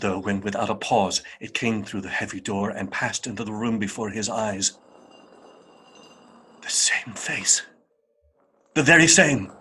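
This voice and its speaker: A man narrating a radio play as a dramatic storyteller, with theatrical, expressive and deliberate phrasing. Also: on the low side, slightly rough.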